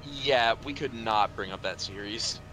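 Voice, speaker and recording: A man's voice: fairly low pitch, unhurried, low-quality recording. A man chatting casually over gameplay with dry, grumbling humour. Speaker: amateur voice actor in a game dub.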